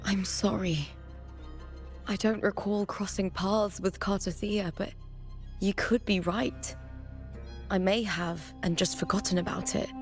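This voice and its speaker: A woman voicing an earnest, honorable young female gladiator in a video game, sincere and hesitant as she speaks gravely. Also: mid-range voice, steady tone, unhurried, long pauses, faint music behind, natural voice. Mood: puzzled, sincere.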